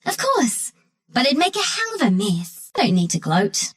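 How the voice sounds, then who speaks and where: A woman's voice: on the high side, huge pitch swings, normal speed, slightly rough, exaggerated voice. A woman voicing a cocky, mischievous little furry forest sharpshooter for a video game, with an Australian accent and a cheeky, breezy, slangy delivery. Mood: cheeky gloating. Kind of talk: video game character voice lines.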